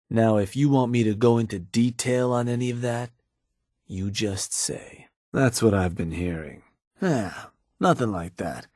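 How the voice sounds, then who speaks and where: An actor playing a shrewd, easygoing American raider lieutenant in a video game, explaining things to his boss in a laid-back, folksy, measured way with a slightly rough voice. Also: fairly low pitch, darkish tone, no echo, clean studio sound. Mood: wry, sly. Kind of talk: video game character dialogue.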